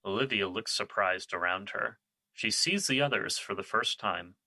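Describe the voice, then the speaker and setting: A man's voice: fairly low pitch, moderate pace, sharp tone, no room sound, exaggerated voice. A man playing the narrator of an audio drama, reading scripted stage directions. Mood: neutral narration.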